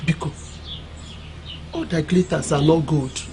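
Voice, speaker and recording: A woman's voice: low-pitched, slow, background noise. An actress playing a wise middle-aged village mother in a film drama, instructing in proverbs with a Nigerian accent. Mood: admonishing.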